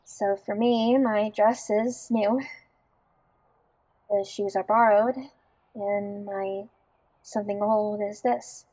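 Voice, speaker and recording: A woman playing a down-to-earth, warm-hearted young bride in an audio drama, natural and wry in delivery. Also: mid-range voice, little pitch movement, slow, pauses often, rough recording. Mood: curious, explaining.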